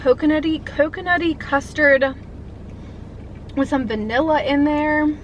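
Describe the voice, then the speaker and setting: High-voiced chatty young woman eating. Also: unhurried, muffled recording, slight background noise. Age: 20s. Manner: chatty, enthusiastic, talks while eating. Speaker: food vlogger. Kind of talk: casual mukbang chat.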